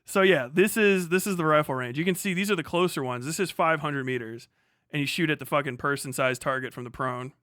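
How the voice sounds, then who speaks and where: A man's voice: fairly high pitch, brisk, a bit bright, no echo, studio quality. A middle-aged man giving casual, rambling reaction commentary as a livestream host, full of slang and swearing. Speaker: livestream host, former Marine.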